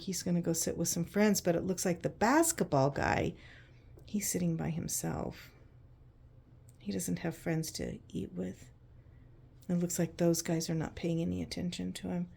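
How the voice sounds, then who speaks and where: A woman narrating a picture book to children in an expressive, conversational way, using simple explanations and checking in with questions. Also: lowish voice, moderate pace, creaky, husky. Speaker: children's read-aloud host.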